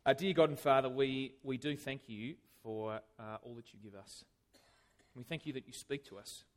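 A man preaching a conversational sermon in a church, chatty and self-deprecating, with lively swings of emphasis. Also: mid-range voice, moderate pace.

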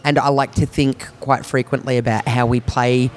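A man who works as a comedian talks through a podcast conversation, often stopping to restart his sentences and laughing now and then. His voice sits at an ordinary pitch for a man. A faint hum of noise runs underneath. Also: level tone. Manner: halting, many restarts and fillers, laughs often.

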